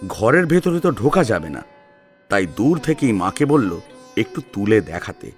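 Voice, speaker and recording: A man with a lowish voice reads a dramatic story as its narrator. His intonation rises and falls widely and expressively, and light music plays behind him. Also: sing-song, moderate pace. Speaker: narrator and co-presenter.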